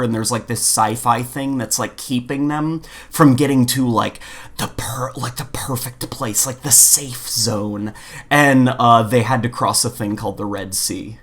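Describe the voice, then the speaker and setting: A man co-hosting a casual podcast, rambling through jokey, animated stories and asides. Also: medium pitch. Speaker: podcast co-host.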